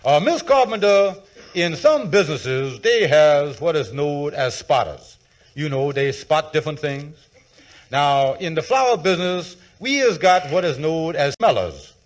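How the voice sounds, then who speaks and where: An actor playing a sly, smooth-talking schemer in 1940s radio comedy, with grandiose, folksy, ungrammatical speech. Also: male voice, fairly high pitch, expressive, measured pace. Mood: grandiose, courtly. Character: Kingfish, sly scheming smooth-talking con man posing as professor. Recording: vintage 1940s radio broadcast.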